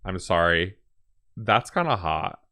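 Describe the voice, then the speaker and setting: Low-voiced man reacting to a game. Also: sing-song, takes it slowly, pushed and bright. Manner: informal, thinks aloud in questions. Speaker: gaming reaction host. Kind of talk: casual reaction commentary.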